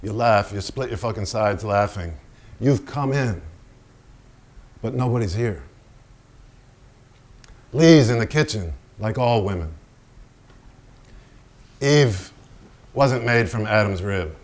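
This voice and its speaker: An actor playing a hard-drinking, tormented painter in a theatrical staged monologue, profane, brooding and rambling. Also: male voice, on the low side, animated, takes it slowly, long pauses, darkish tone. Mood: sardonic. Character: hard-drinking, foul-mouthed, tormented male painter, self-mocking. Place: staged reading in a barn before an audience.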